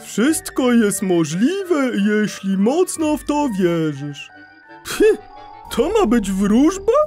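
A woman voicing a cheeky, sceptical young hippo boy, expressive and childlike, in a children's audio play. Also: mid-range voice, takes it slowly, faint music behind.

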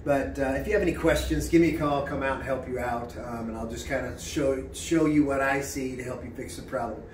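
A man's voice: medium pitch, brisk, a little hiss or hum. A middle-aged man explaining things at a whiteboard in a small office, talking plainly with lots of fillers and checking in with "right?". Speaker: real estate agent. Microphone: camera a few steps away. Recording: echoey room, distant camera mic.

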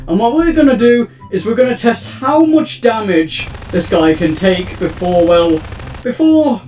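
A young man giving casual, excitable gameplay commentary as a gaming channel host, rambling and panicking aloud as things go wrong. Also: high-pitched, measured pace, a little hiss or hum. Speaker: gaming YouTuber. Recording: roomy, distant mic with background music.

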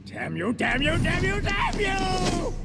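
A man playing a frenzied, vengeful assassin in a video game, ranting hysterically in a shrill, creaky voice. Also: wildly swooping pitch, slow, over a noisy crowd, heavily acted. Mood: furious. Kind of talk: video game villain acting. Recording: voice amid loud game rumble.

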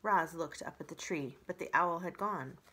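A woman in her forties reading a children's book aloud as an expressive storyteller. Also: deep voice, normal speed, breathy edge.

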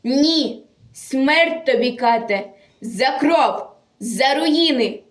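A woman's voice: high-pitched, expressive, extremely slow, heavily acted. A woman voicing a defiant, unbreakable young partisan girl with proud, solemn declamation in a war poem recitation. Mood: defiant, vengeful. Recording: echoey room, distant microphone.

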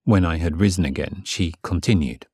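An actor playing a lovesick, submissive gentleman in an audiobook drama, earnest and measured, with a husky voice. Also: deep voice, no room sound, clean studio sound. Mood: reflective. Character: Severin, lovesick, submissive gentleman narrating his passion. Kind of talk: dramatised first-person audiobook narration.

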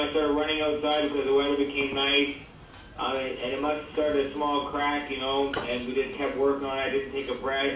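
A man's voice: fairly high pitch, a bit bright. Man on echoey speakerphone. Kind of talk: answering press questions, casual. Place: conference call played through a speaker in a room. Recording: very echoey speakerphone call, distant, faint noise.

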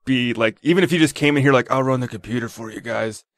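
A man co-hosting a podcast in relaxed casual chat. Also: medium pitch, quick pace, no room sound. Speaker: podcast co-host.

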